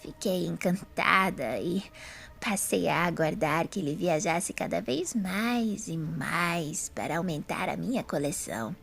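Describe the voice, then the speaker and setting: A woman playing a serene, frail old lady in an audio drama, with a hoarse, breathy voice and slow, genteel pauses. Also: fairly low pitch, lively intonation, a bit bright, faint music behind, heavily acted. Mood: fondly reminiscing. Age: older.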